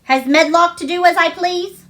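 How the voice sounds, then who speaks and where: An imperious young boy from a storybook, acted out by a woman reader, with a high voice. He is spoiled and sickly, and speaks in haughty commands. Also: normal speed, bright, forceful tone. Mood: haughty, demanding.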